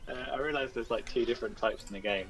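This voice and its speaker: A man's voice: mid-range voice, very fast, a crowd in the background. A man speaking as a guest game developer, chatting casually. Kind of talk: casual chat. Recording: distant mic with music underneath. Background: music.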